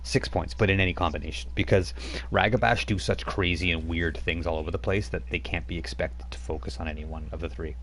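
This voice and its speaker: Deep-voiced game master explaining rules. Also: male voice, fairly even intonation, fairly quick, slight background noise. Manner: explains rules patiently, stops to check notes. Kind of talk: running a tabletop game. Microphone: streaming mic.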